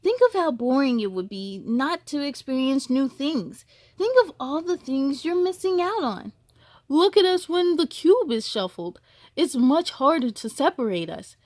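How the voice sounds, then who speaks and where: A woman's voice: high-pitched, big pitch swings, a little slow, a little mellow, no room sound, clean studio sound. A woman voicing young cube squares in a storybook, speaking earnestly and persuasively. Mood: earnest, rallying.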